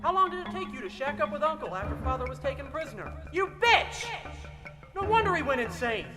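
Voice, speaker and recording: High-strung, furious young man , acted over music. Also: very animated, brisk. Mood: outraged. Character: hot-tempered, accusing grown child of a wronged father. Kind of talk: audio drama acting.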